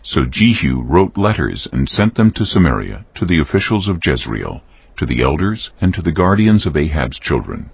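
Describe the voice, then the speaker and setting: A man giving solemn, measured, scripted dramatic narration of scripture as a storyteller. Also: rumbling low, big pitch swings, vocal fry, husky, no echo. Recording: dull audio with music underneath.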